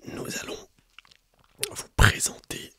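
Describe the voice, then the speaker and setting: A person whispering in an ASMR roleplay as a coffee shopkeeper, speaking to the listener in a hesitant, improvised sales patter with an airy, breathy voice. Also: takes it slowly, bright, forceful tone, no room sound. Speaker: ASMR performer playing a coffee roastery shopkeeper.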